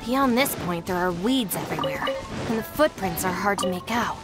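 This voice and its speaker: A woman playing a composed, assertive young female gang deputy in a video game, speaking briskly and firmly. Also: mid-range voice, animated, lightly acted. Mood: searching, alert. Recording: faint music behind the voice.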